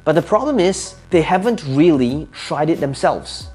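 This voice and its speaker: A man's voice: a little high, very animated, normal speed, a little mellow, husky, faint music behind. A man whose first language is Cantonese gives motivational advice to camera, speaking emphatically, asking rhetorical questions and repeating his key phrases. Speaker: entrepreneur giving advice.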